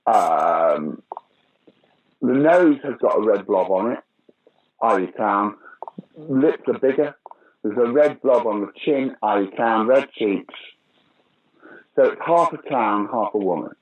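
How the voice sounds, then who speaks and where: Husky older British man telling anecdotes. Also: lowish voice, takes it slowly, pauses often, dull audio. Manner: storytelling, animated anecdotes. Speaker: interview guest, pantomime dame actor. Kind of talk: casual anecdotal interview.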